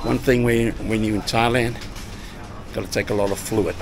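A man's voice: on the low side, steady tone, normal speed, noisy background. Upbeat man vlogging on a busy street. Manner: upbeat, chatty, calls people brother. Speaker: travel vlogger. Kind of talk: casual travel vlog chat. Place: busy city street and convenience store. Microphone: action camera held at arm's length.

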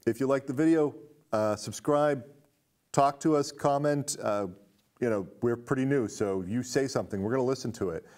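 A man's voice: mid-range voice, moderate pace, a little mellow. A middle-aged man hosting a casual film discussion in an indoor studio. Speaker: host. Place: indoor studio set with curtains.

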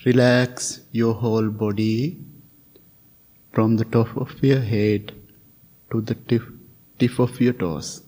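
A man leading a guided meditation as a teacher, speaking gently and soothingly in short, spaced phrases. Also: mid-range voice, steady tone, slow, pauses often. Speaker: meditation teacher, Buddhist monk.

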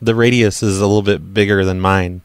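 A man's voice: fairly low pitch, steady tone, a little fast, smooth, dry recording. Stammering, argumentative man, very dry sound. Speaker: prank caller, show host. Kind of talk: prank phone call, casual chat.

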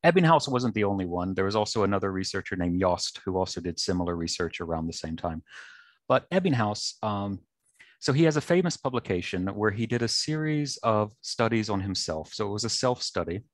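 A man with a low voice and a faint Southern American twang explains research patiently, lecture-style, with plenty of ums. He talks into a headset mic on a video call, and the sound is dry and close with no room echo. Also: sing-song. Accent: light Southern American. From North Carolina, USA. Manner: measured, lecturing, with frequent ums. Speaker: researcher guest. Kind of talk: explanatory interview talk. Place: office with bookshelves and whiteboard.

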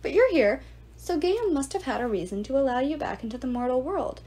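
A woman's voice: high-pitched, lively intonation, a little fast, dark tone, voice pushed up. Woman voicing a sneering male ghoul. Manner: mocking, contemptuous. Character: sneering arrogant male ghoul, suitor's ghost.

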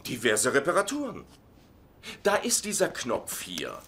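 An actor playing a goofy, boastful working-class husband in a dubbed sitcom, with a playful, exaggerated delivery. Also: male voice, mid-range voice, wildly swooping pitch, a little slow, sharp tone, slight background noise, full character voice. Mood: playful bravado.

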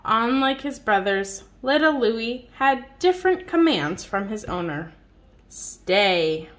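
A woman reading a picture book aloud to a young child in an expressive, child-directed way, drawing out words. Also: a little high, slow. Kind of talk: read-aloud storytelling to a young child, with simple questions. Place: indoors at home on a dark wood floor. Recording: noisy home phone recording.

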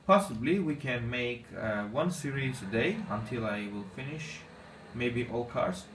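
A man whose first language is Armenian, giving casual commentary on his gameplay in halting English with many fillers. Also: medium pitch. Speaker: gaming channel host. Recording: echoey room, distant mic, faint noise.

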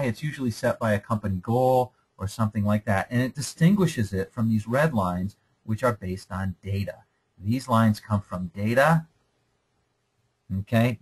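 Husky-voiced man giving a lecture. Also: on the low side, low-quality recording. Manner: explanatory, conversational, with frequent "okay?" checks. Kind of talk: recorded slide lecture.

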